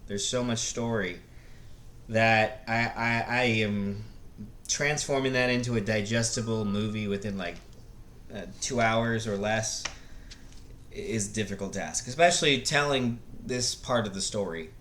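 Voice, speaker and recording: Male video host, lowish voice, off-the-cuff and halting, roomy echo with faint noise. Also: a bit bright. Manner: halting, searching for words. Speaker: video host reviewing movies. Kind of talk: casual unscripted movie commentary. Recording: echoey room, faint background noise.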